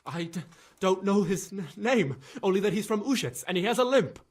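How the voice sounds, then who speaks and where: Scared stable boy, husky voice, nervous stammer, halting delivery, guilt-ridden, video game drama. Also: high voice, animated, a little mellow, soft background music. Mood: defensive, nervous. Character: frightened, guilt-ridden young stable lad. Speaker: video game character, witness being questioned. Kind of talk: dramatic game cutscene acting.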